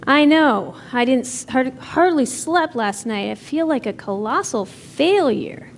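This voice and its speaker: A woman's voice: high voice, expressive, a little slow, a little hiss or hum. A woman playing an earnest, caring aunt who doubts herself, in natural dramatic dialogue. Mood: dejected.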